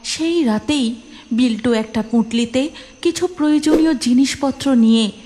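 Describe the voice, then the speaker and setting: A woman's voice: high voice, normal speed, mellow, a little hiss or hum. A woman narrating a scripted cartoon story as storyteller.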